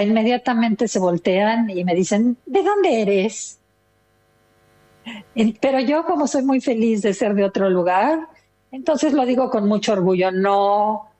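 Older female interview guest, Mexican accent, medium pitch, casual and chatty storyteller. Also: darkish tone. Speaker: interview guest, retired listener.

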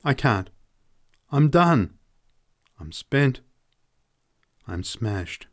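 A man's voice: mid-range voice, expressive, normal speed, a little mellow, voice pushed up, lightly acted. Lovesick, bitter man, raised and heated, read by a male narrator. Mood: despairing. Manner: pleading and sneering by turns, emotional. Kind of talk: audiobook character reading.

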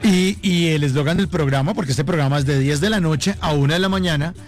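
A man's voice: fairly high pitch, fast talker, soft background music. A man with a Colombian accent hosting a conversational radio interview, appreciative and affirming toward his guest. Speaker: radio show host.

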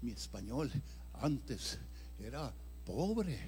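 An older man, an evangelist, telling his testimony in a sermon with dramatic storytelling that swings between loud and quiet. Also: mid-range voice, sing-song, drawn-out words, a little hiss or hum. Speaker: evangelist preacher.

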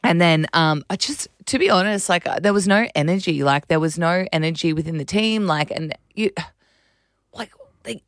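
A woman with a raspy voice and an Australian accent, co-hosting breakfast radio with casual, animated chat about sport. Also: fairly low pitch, normal speed, no echo, clean studio sound.

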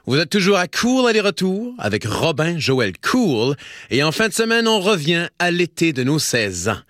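A man in his forties with an Acadian French accent, hosting a radio show and telling a wry, playful anecdote with dramatic pauses. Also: on the high side, sing-song, a bit bright, no echo, studio quality. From Acadian New Brunswick, Canada. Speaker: radio host. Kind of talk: radio storytelling.

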